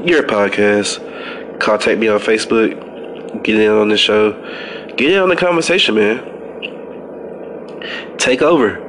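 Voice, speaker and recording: A man with a somewhat low voice and a stuffy, congested nose hosts a casual podcast. He rambles in an offhand way, restarting sentences and leaning on fillers as he talks things through. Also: a bit bright, slight background noise, low-quality recording. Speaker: podcast host. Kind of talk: casual wrestling review podcast.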